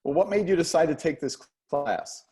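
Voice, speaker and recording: A man playing a patient, gently teasing dance instructor of sixty-one in a play reading. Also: fairly high pitch, normal speed, natural voice. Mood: friendly, curious. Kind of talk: play reading over video call.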